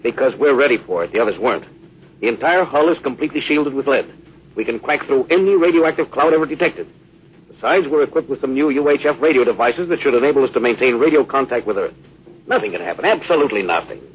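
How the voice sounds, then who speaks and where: A man's voice: mid-range voice, expressive, close to an everyday voice. A man acting a seasoned, authoritative middle-aged spaceship captain in a vintage radio drama. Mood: grim, insistent. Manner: brisk, authoritative. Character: seasoned, commanding male spaceship captain, weary but determined. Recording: muffled, noisy old radio recording.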